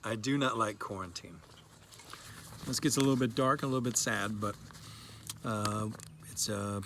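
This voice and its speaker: A man's voice: medium pitch, a bit bright. Casual man with noise behind him. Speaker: father presenting his child's story. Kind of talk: casual chat to camera. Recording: clearly noisy background.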